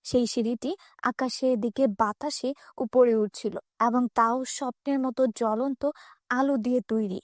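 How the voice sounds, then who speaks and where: A woman with a high voice narrates a children's fairy tale from a script. The recording is close and very dry. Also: fairly even intonation, normal speed, no echo.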